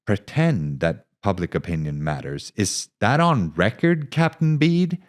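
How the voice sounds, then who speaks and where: A man's voice: lowish voice, big pitch swings, a little slow, pitched above the performer's own voice. Male narrator as a war reporter, earnest, frustrated, idealistic, pressing, dramatic audio fiction. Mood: frustrated, challenging. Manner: insistent, earnest.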